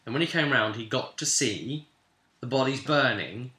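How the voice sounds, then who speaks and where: A man acting as game master, recounting a tabletop fantasy game session informally like an animated storyteller speaking straight to his listeners. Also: medium pitch, moderate pace. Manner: animated storyteller, voicing characters' lines and addressing listeners directly. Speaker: game master and host of a tabletop roleplay recap series. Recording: echoey, roomy sound.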